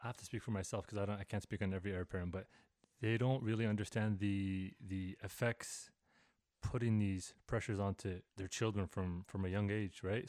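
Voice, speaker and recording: A man's voice: lowish voice, darkish tone, no room sound, clean studio sound. A young man hosting a casual podcast interview, asking long reflective questions. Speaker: podcast host.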